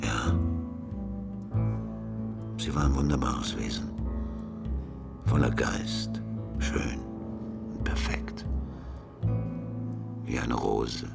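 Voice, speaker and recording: Very deep, gravelly widowed detective. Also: male voice, extremely slow, pauses often, exaggerated voice. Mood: wistful, tender. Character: awkward, sentimental widowed male police detective, older, courteous. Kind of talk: German radio crime drama acting. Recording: loud guitar music under voice.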